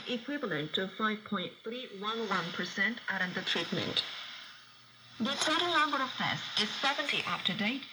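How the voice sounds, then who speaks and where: A female news announcer is heard through clearly noisy shortwave reception. She reads in a formal, official register at a middle pitch, solemnly setting out each phrase with deliberate care. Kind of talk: formal scripted news reading. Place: radio broadcast studio, received over shortwave.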